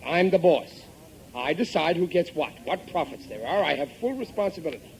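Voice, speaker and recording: Cocky, high-pitched blustering dad. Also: male voice, expressive, brisk, vocal fry, a little mellow, a little hiss or hum, rough recording. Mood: smug. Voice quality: exclamatory, ungrammatical working-class speech. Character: blustering, gullible, cocky working-class father. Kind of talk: 1950s radio comedy acting. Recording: vintage 1950s radio recording.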